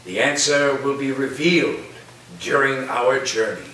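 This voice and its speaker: Eccentric professor-captain, played by a man: high, bright, deliberate and whimsical, stage reading in a very echoey room. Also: lightly acted. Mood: whimsical, genial. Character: eccentric theatrical male college professor captaining a submarine. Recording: very echoey room, far off, faint noise.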